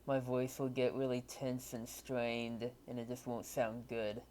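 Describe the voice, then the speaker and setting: A man's voice: mid-range voice, monotone, a little slow, darkish tone. A man hosting an interview, asking casual questions with a few fillers. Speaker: host, interviewer.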